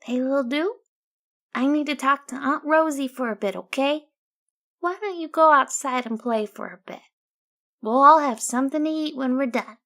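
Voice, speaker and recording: Audiobook role: female narrator playing a doting pegasus mom, raised pitch, bright, cooing and gentle. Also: moderate pace. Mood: gentle, reassuring. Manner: cooing baby talk to a child. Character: doting pegasus pony mother, playful and gentle. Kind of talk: audiobook character voice.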